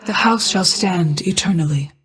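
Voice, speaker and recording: A woman voicing a regal, ageless, motherly goddess of night in a video game, speaking in formal, measured, archaic phrasing. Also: fairly low pitch, a bit bright, natural voice, rough recording. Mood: solemn, guarded. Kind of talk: scripted video game voice acting.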